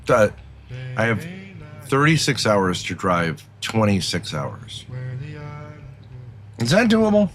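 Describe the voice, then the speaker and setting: A man chatting casually on a livestream, with loud vehicle noise around him. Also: fairly low pitch, wildly swooping pitch, slow pace, pauses often. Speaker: livestreamer on a road trip.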